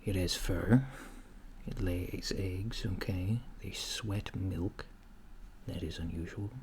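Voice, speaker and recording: A man doing a soft-spoken ASMR roleplay as a curious, puzzled alien interviewer, speaking in a deliberate, halting, deadpan way. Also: deep voice. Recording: clear background noise, keyboard typing. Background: computer keyboard typing.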